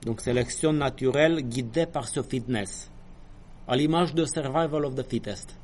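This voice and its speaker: A man's voice: medium pitch, normal speed, a little mellow, a little hiss or hum. Man lecturing with apologetic asides. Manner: explanatory, asides and apologetic quips. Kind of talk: university lecture.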